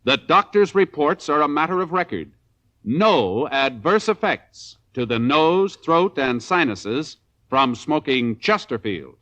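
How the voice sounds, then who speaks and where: Higher-voiced, animated male radio announcer. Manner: measured, emphatic, authoritative reading. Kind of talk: scripted 1950s radio advertisement.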